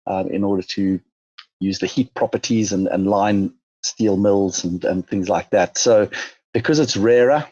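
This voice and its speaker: A man with a Zimbabwean accent talks through a business interview in a low, husky voice. He sounds measured and pauses often on fillers. The recording is dull and dry. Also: warm tone, no echo. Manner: measured, many fillers and restarts. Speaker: mining company chief executive.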